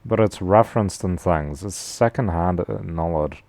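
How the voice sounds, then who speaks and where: Deep-voiced man in slangy stream chatter. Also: moderate pace, a little mellow, no echo. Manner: slangy, rambling, says "bro" a lot. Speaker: live streamer. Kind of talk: casual stream chat.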